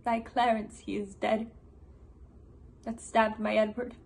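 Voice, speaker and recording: A woman's voice: a little high, flat delivery, slow pace, gravelly, a little mellow. A teenage woman acting a vengeful, grieving queen in a declamatory, impassioned Shakespearean monologue. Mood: bitter, gloating. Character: vengeful grieving old queen, cursing her enemies.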